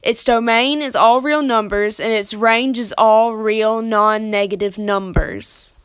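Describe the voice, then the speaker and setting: A young woman narrates a scripted lesson in a fairly high voice. Her explaining is plain and methodical, and the sound is dry and close. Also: steady tone, measured pace, clear, resonant tone, no echo. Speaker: student presenter.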